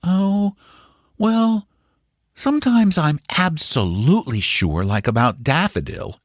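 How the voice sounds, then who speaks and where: A man voicing an anxious, intense young boy in a storybook reading, speaking high and strained. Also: very animated, slow pace, no echo, clean studio sound, heavily acted. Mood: earnest.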